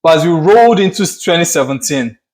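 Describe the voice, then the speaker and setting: A man from Nigeria with a Nigerian accent, co-hosting a family channel in a relaxed chat and repeating words as he starts a thought. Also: on the high side, quick pace. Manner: chatty, laughs easily, repeats words when starting a thought. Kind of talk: casual chat.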